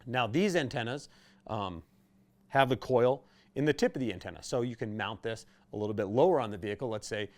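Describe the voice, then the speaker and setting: Man explaining gear, mid-pitched voice. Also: expressive, brisk. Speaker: host of product tutorial videos for a radio retailer. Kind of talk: conversational instructional explainer.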